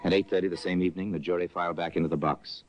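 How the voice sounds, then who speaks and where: A man's voice: low voice, steady tone, very fast, faint music behind. A man narrating a 1950s radio mystery in a solemn storytelling way. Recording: dull old radio recording with faint noise.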